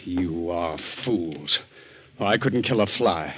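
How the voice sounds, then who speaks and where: A man playing a gentle, absent-minded scientist, stammering and horrified, in 1940s radio drama style. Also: deep voice, expressive, slow, slightly rough, exaggerated voice. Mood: horrified, protesting. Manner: stammering, flustered, exclamatory. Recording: muffled 1940s radio recording.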